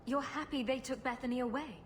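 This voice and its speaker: An actress playing a sarcastic yet loyal young rogue in a video game, dry and sardonic. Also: female voice, high-pitched, fairly even intonation, fairly quick, slight background noise, natural voice. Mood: terse. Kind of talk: dramatic video game acting.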